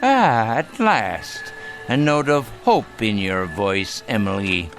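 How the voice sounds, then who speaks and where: Bitter dying patriarch: elderly man, sardonic and theatrical, widely swinging intonation, forceful bright tone, radio play. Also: lowish voice, animated, soft background music. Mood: wry, knowing. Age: older. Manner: theatrical, scornful, deliberate speech. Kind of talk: dramatic radio play acting.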